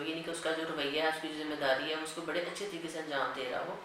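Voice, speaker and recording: A woman's voice: low voice, fairly bright tone, slight background noise. Very echoey room, distant mic, woman law lecturer, Pakistani accent, didactic, repeats and rephrases. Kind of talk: lecture, reading statutes aloud and explaining them.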